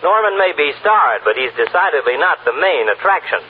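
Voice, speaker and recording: A man's voice: fairly high pitch, big pitch swings, fairly quick, breathy edge. An actor playing a self-satisfied male Hollywood gossip reporter in a 1940s radio drama. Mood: smug, newsy. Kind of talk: 1940s radio acting, broadcast commentary. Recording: tinny old radio recording, faint noise.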